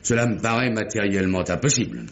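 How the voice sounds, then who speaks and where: A middle-aged banker with a low voice, formal and self-righteous. He declaims like a wronged gentleman in a vintage radio mystery. The recording is slightly dulled. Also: very animated, quick pace, highs cut off. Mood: formal, explanatory. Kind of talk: old French radio mystery drama acting.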